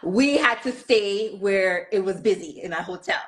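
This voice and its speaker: A woman's voice: mid-range voice, normal speed. A middle-aged woman from Toronto, telling stories animatedly in casual chat and acting out quotes. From Toronto, Canada. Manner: animated storyteller, acts out quotes. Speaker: guest.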